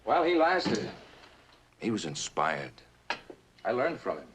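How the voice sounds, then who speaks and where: A very low-voiced, smooth schemer from a vintage suspense drama. He speaks in a measured, condescending way, with wide swings of intonation and a controlling, calculating temper. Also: wildly swooping pitch, a bit bright, slight background noise, exaggerated voice. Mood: coolly calculating. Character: smooth, coldly calculating male usurper manager, controlling. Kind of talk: old radio and television suspense drama acting.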